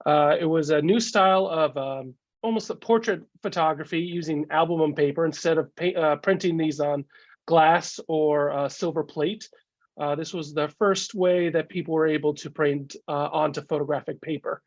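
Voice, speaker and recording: A man with a cold talks through a slideshow over a video call, his voice gravelly and a touch higher than most. He halts often on fillers. The sound is dull and dry. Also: fairly even intonation, dry recording. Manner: halting, many "uh" fillers. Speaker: guest speaker, collector. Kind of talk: casual slideshow talk.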